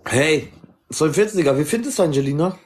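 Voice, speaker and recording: A man's voice: on the high side, animated, a little fast. A man hosting a phone livestream who teases his guests and stirs up arguments between them. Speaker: livestream host. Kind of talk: casual livestream moderating.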